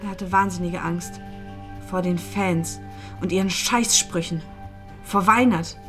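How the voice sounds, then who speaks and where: A woman's voice: on the low side, fairly even intonation, unhurried, over music, lightly acted. An actress playing a grieving young woman, loyal confidante to a dead friend, in a screen drama. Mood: sad, earnest. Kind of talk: dramatic film dialogue.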